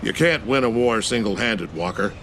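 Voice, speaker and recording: An actor playing a stern older navy admiral giving clipped orders in a video game. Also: male voice, lowish voice, moderate pace, fairly bright tone, slight background noise, natural voice. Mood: firm.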